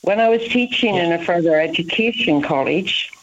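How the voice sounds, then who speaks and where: A woman's voice: on the low side, animated, moderate pace. Irish woman on a dull phone-quality line. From Ireland. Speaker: education researcher and lecturer. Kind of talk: phone interview.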